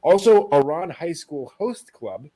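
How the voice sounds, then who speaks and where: A man's voice: on the high side, animated, measured pace, dark tone, low-quality recording. A man hosting a casual news rundown with co-hosts, talking in a hesitant, conversational way. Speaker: host presenting anime news.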